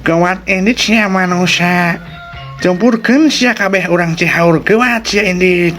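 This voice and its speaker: A man plays a menacing spirit from a ghost story. The voice is high and threatening, lifted far from the natural male pitch. There is music underneath. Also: measured pace, a bit bright.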